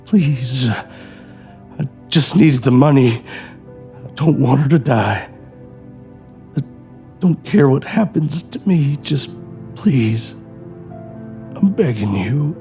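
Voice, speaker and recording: A man's voice: medium pitch, animated, takes it slowly, pauses often, warm tone, faint music behind. An actor playing a desperate, trapped robber and devoted father in a horror audio drama, speaking with raw emotion. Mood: desperate pleading.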